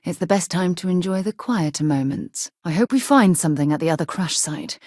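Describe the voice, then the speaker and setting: An earnest game heroine, low voice, proper and expressive, super dry studio sound. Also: female voice, brisk, no echo. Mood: composed. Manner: articulate, formal, emotionally expressive. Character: earnest, principled woman explorer and leader, formerly navy. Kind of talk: video game dialogue acting.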